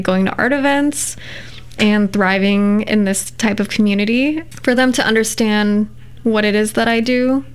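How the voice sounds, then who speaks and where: A woman, a poet, talking in a relaxed interview and choosing her words thoughtfully, with many fillers. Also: mid-range voice, smooth. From United States. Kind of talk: casual interview conversation.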